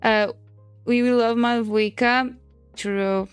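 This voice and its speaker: A woman's voice: on the high side, steady tone, slow pace, clean tone, no room sound, faint music behind. A woman in her twenties, a native Portuguese speaker, chatting casually on a stream while she draws, swearing and laughing often. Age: 20s. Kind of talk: casual chat while drawing.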